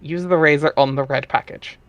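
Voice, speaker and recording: A man's voice: a little high, fairly even intonation, a little fast, no echo. A man narrating an amateur dramatic reading of a webcomic, wry and theatrical, with casual asides.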